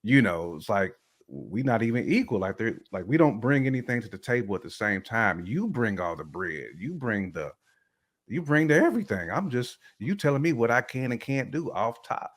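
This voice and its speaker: A man's voice: mid-range voice, sing-song, normal speed. A man giving casual, opinionated commentary in conversation, stressing his points by repeating words.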